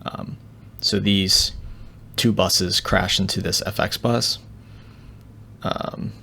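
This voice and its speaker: A man with a low voice and plenty of gravelly fry walks through technical material as an audio engineer. His delivery is casual and unscripted, in a laid-back tutorial style. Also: steady tone, takes it slowly, sharp tone.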